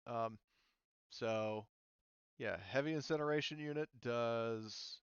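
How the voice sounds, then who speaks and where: A man's voice: mid-range voice, slow pace, smooth, dry recording, muffled recording. A man narrating a tabletop game as game master, explaining rules casually and thinking aloud hesitantly.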